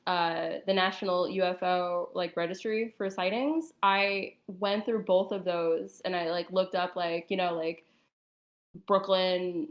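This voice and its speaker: Casting: woman with a lowish voice, informal and tentative, feeling her way through each thought. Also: a bit bright. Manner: halting, tentative, frequent "like". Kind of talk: casual chat, speculation.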